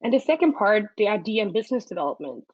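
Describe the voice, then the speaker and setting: Woman host, upbeat and chatty, middle pitch, dry muffled call audio. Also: sing-song, quick pace, darkish tone, breathy edge, dry recording. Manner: upbeat, with frequent fillers. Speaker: webinar host. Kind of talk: presenting a student startup hub.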